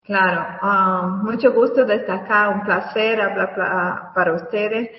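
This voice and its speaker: A Brazilian woman whose first language is Portuguese, a guest engineer on a radio interview, speaking Spanish slowly and searching for words. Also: medium pitch, steady tone, roomy sound, long reverb tail, recorded from a distance, muffled recording.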